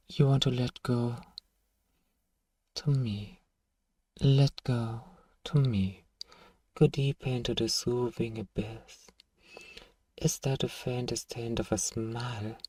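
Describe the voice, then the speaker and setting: A man voicing a seductive, manipulative vampire lord in an ASMR roleplay, coaxing and possessive, with a breathy voice that often drops toward a whisper. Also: medium pitch, a little mellow, dry recording, far from the mic. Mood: hypnotic, coaxing. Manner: slow, soothing, coaxing, possessive. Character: seductive, manipulative male vampire lord hypnotising captive prey.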